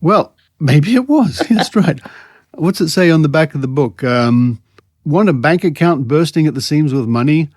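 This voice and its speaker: A man's voice: fairly high pitch, expressive, normal speed, a little mellow, no echo, clean studio sound. An Australian man hosting a podcast in a casual, wryly amused, conversational way. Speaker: podcast host. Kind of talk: casual chat about psychics and scepticism.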